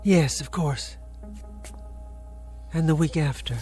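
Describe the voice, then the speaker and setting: An actress playing a quiet, submissive woman who tends an invalid, in a radio drama. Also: sits low, slow pace, faint music behind. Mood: polite. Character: meek downtrodden woman caring for an invalid.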